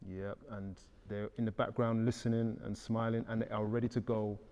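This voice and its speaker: A man's voice: fairly low pitch, flat delivery, normal speed, a little mellow. A British man hosting a casual panel discussion, upbeat and conversational, asking questions. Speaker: podcast host. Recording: hall reverb.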